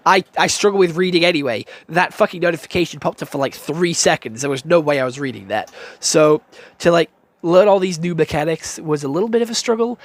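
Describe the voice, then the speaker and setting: A young British man giving a casual, excitable game review, swearing constantly and stumbling over restarts and fillers. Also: fairly high pitch, brisk. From United Kingdom.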